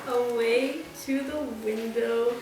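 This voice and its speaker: A woman's voice: a little high, fairly even intonation, slow. A woman reciting holiday verse for a puppet show. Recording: heavy echo with clear music behind. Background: music.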